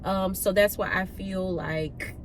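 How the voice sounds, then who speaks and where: A woman vlogger talks casually to her viewers in a chatty, slangy way. Her voice sits at a medium pitch and sounds nasal and stuffed up with a cold. There is noticeable noise around her, as inside a car. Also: fairly even intonation, slow. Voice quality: nasal, congested. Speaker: vlog host. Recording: noisy car ambience.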